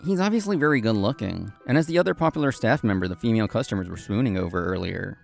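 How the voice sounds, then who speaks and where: Expressive, creaky young café worker narrating, male actor. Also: on the low side, fast, soft background music. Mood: earnest, reflective. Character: earnest, polite young male café assistant manager. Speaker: narrator and lead actor of a manga story video. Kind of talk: scripted first-person narration.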